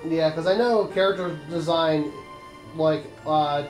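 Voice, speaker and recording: A man's voice: on the high side, unhurried, a little mellow, soft background music. A young man hosting a let's play, chatting casually over the game in a rambling way, full of trivia and fillers. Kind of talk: casual commentary over gameplay. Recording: echoey room.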